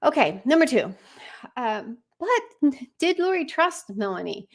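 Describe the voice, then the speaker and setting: A woman's voice: high-pitched, sing-song, measured pace. A woman hosting a true crime live stream, giving informal, conversational commentary with wry asides. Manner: conversational, wry asides, acts out read messages.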